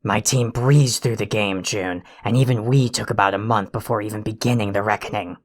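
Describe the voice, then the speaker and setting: An actor performs a cranky alien troll for an audio drama. The voice is gravelly and full of creak. The delivery is bossy, pompous and scathing, like someone forever fed up. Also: medium pitch, steady tone, brisk, natural voice. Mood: pompous, grumpy. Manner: ranting, insulting, pompously wordy. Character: irritable, foul-mouthed, bossy alien troll, self-important.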